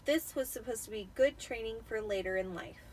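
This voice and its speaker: A woman with a fairly high voice reads a story aloud with dramatic flair. She sounds tipsy, and there is faint noise behind her. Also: a little fast, far from the mic. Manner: theatrical, expressive. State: tipsy from wine. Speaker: amateur storybook reader.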